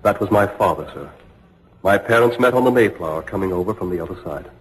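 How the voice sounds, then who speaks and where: An actor playing a grave, dignified imprisoned navy captain, formal and bitterly restrained, in an old radio drama. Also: male voice, deep voice, expressive, fast, slightly rough, big-room sound, low-quality recording. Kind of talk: 1940s radio acting.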